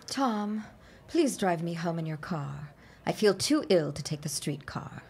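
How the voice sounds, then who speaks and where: Cool, measured, lower-voiced period lady. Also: animated, a little mellow, breathy edge, exaggerated voice. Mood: urgent, ailing. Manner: terse, measured. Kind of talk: period audio drama acting.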